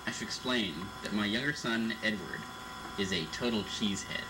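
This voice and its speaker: A man's voice: fairly low pitch, moderate pace. Man chatting casually on noisy tape. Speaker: father telling a family anecdote. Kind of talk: casual chat. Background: clear background noise.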